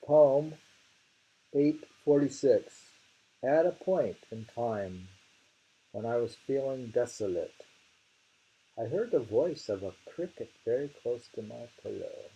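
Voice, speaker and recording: A man reading poetry aloud and commenting casually, in a halting, musing way that circles back over phrases. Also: medium pitch, lively intonation, mellow, slightly rough, dull audio.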